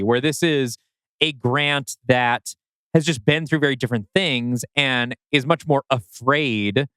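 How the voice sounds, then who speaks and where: Clear, smooth-voiced man talking analytically. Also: medium pitch, fairly bright tone, dry recording. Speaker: podcast co-host. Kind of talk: casual analytical story discussion.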